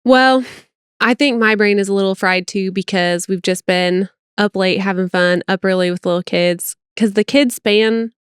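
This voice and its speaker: A middle-aged woman with a General American accent co-hosts a podcast. She talks in a chatty, expressive, self-deprecating way. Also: mid-range voice, moderate pace, clean tone, dry recording, studio quality. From United States. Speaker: podcast co-host. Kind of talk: casual chat.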